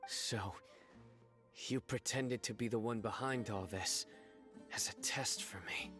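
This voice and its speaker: An actor playing a stern, stoic young investigator in a video game, speaking in a grave and deliberate manner. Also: male voice, medium pitch, fairly bright tone, soft background music, close to an everyday voice. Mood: stern, probing. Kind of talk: dramatic video game voice acting.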